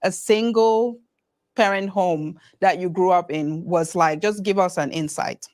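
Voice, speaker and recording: A woman's voice: fairly low pitch, a little slow, darkish tone. A woman hosting a podcast, asking her guest questions in a loose, rambling way and repeating words as she works out what to ask. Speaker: podcast host. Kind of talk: casual interview.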